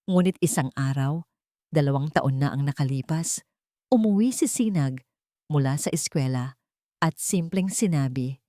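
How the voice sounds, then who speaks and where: A woman narrates a dramatic story in a low voice. She speaks in short, measured phrases with deliberate phrasing. The recording is dry and close, with no room sound. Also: expressive, warm tone, no echo.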